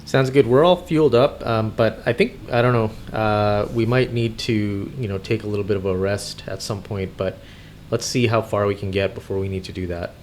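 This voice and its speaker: A man hosting a gaming video in casual, easygoing chat, with a hesitant, halting delivery. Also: on the low side, steady tone, a little mellow, a little hiss or hum. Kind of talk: casual roleplay chat while driving in a game. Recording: music behind the voice. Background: music.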